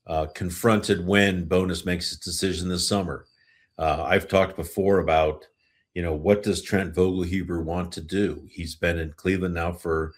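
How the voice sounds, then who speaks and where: Deep-voiced man hosting a sports podcast. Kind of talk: casual hockey talk and question answering.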